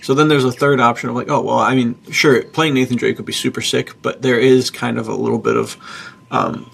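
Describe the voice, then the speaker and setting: A man co-hosting a podcast, chatting casually and enthusiastically in slangy language with a husky, gravelly voice. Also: medium pitch, steady tone, fairly quick, fairly bright tone. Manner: enthusiastic, slangy, says dude. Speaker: podcast co-host and publisher.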